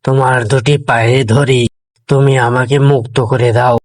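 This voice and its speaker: A man voicing a hungry, wily tiger with a gravelly, husky voice in a cartoon fable. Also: medium pitch, little pitch movement, normal speed, natural voice. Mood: pleading. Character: hungry, treacherous tiger, pleading then menacing. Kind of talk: cartoon voice acting.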